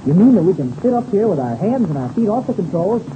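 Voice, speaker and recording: A man's voice: high voice, sing-song, fast. An actor playing a wide-eyed, inquisitive teenage boy pilot with gee-whiz eagerness in a 1930s radio serial. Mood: eager wonder. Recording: scratchy, tinny old-time radio recording, noisy.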